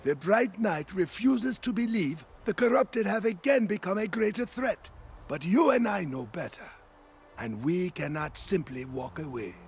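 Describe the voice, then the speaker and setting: A man's voice: high-pitched, expressive, unhurried, gravelly, breathy edge, exaggerated voice. A man playing an earnest, urgent ally in a video game, speaking in a theatrical, emphatic way. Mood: urgent resolve. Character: earnest, animated male ally warning of spreading corruption. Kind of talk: video game quest dialogue.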